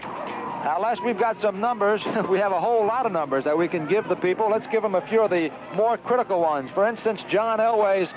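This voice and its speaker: High-pitched American football announcer, noisy old broadcast. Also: male voice, fairly quick. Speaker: play-by-play sports announcer. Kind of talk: live American football radio commentary. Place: outdoor high school football stadium. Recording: muffled, noisy 1970s radio broadcast.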